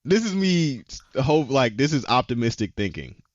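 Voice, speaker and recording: A man's voice: mid-range voice, big pitch swings, moderate pace, no echo, dull audio. A man co-hosting a casual talk show, retelling scenes animatedly and acting out the characters' lines. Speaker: podcast co-host. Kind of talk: casual chat recapping anime episodes.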